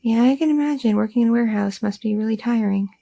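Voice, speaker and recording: A woman's voice: on the high side, fast talker, creaky, mellow. A woman playing a chatty, curious young goth girlfriend in an intimate, casual ASMR audio roleplay. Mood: relaxed, chatty. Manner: casual, rambling, teasing, slangy.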